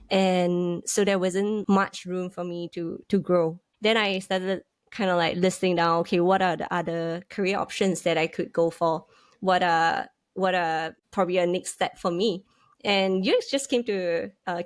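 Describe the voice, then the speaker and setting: A woman's voice: mid-range voice, no echo. A woman in her thirties with a Singaporean English accent, a podcast guest explaining her career thoughtfully and often restarting phrases. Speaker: guest, UX manager. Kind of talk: podcast interview.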